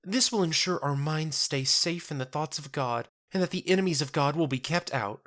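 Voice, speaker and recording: A sweetly devout young woman, played by a man in an audio drama, pitched high and softly lilting. Her words are formal and quaintly courteous, and her temper is gentle and earnest. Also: moderate pace, lightly acted. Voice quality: soft, lilting. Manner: formal, quaintly polite speech.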